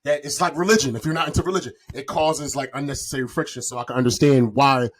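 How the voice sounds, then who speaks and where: A man's voice: mid-range voice, steady tone, fast, slight background noise. A man in casual podcast conversation, talking in slang and joking at his own expense. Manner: slangy, self-deprecating joking. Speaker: podcast host. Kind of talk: casual chat.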